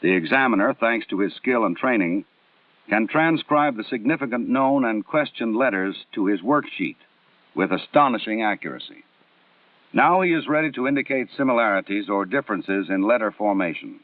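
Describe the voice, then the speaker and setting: An American man delivering formal, scripted training film narration in a measured, instructional way with precise enunciation, on a 1960s film soundtrack. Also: on the low side, animated, dry recording, recorded from a distance. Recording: muffled narrow-band 1960s film soundtrack.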